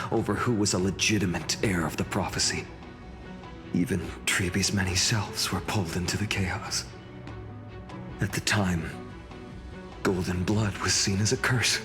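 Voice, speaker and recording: An actor playing an earnest young hero who explains the past thoughtfully. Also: male voice, lowish voice, lightly acted. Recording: game music behind.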